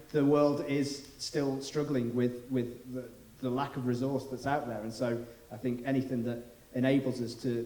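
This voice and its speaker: A British man chairing a round table discussion in a relaxed, hesitant way, thinking aloud as he talks. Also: mid-range voice. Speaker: panel moderator. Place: large hall. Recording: echoey hall with faint hiss.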